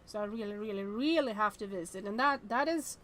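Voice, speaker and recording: A woman streaming a game with casual, expressive commentary, thinking aloud and reading on-screen text as she goes. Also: mid-range voice, fairly quick.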